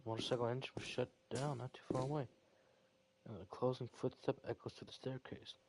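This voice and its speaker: A man reading a visual novel aloud as a gaming video narrator, halting and stumbling with muttered asides. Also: medium pitch, slight background noise, low-quality recording.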